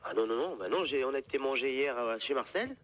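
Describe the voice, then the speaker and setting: Young man from France, earnest casual phone talker, rapid pace, muffled line. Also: medium pitch, lively intonation. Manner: earnest, repeatedly denying. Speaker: prank call victim. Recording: noisy, dull phone line.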